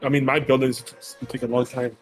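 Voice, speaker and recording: A man's voice: mid-range voice, flat delivery, fairly quick. A man chatting casually while playing a game with a friend, rambling and repeating words as he thinks aloud. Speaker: co-player. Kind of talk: casual gaming chat. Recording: music playing behind.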